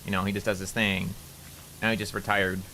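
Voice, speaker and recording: A man with a fairly low voice and a bright, forceful edge rambles to his stream while he plays. His talk is loose and casual, full of "like" and restarts, with faint ambience behind him. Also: flat delivery, brisk, slight background noise, low-quality recording. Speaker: VTuber streamer. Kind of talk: casual stream chat while playing a game.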